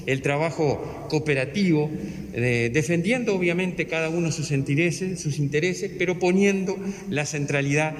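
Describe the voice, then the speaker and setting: Official speaking, higher voice, long fancy sentences, faint hiss in the room. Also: male voice, normal speed. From Uruguay. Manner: fluent, long ornate sentences. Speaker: education official. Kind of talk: formal official address. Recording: echoey hall, faint noise.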